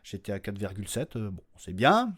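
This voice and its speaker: A man's voice: on the low side, sing-song, brisk, a bit bright, breathy edge. A man hosting his own podcast, giving an unscripted, informal announcement in a rambling, slangy, self-deprecating way. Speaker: podcast creator and host.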